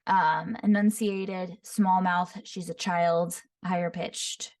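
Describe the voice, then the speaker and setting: A woman voice actor teaching voice impressions, describing each voice in short phrases and often breaking into quick character demos. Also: on the low side, fairly even intonation. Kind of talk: voice impression tutorial. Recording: voice somewhat far from the mic.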